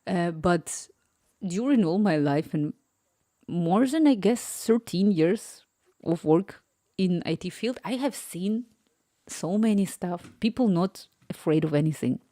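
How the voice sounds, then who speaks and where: A woman's voice: lowish voice, expressive, unhurried, mellow, no echo, studio quality. A woman in her thirties chatting casually on a live stream, rambling and laughing often. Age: 30s.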